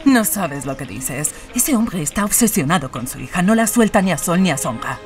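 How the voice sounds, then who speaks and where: A woman's voice: fairly low pitch, very animated, normal speed, soft background music. An actress playing a bossy, scornful woman plotting a kidnapping in an audio drama, curt and commanding.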